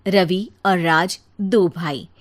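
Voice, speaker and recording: A woman's voice: lowish voice, extremely slow. A woman reading scripted narration for a moral story.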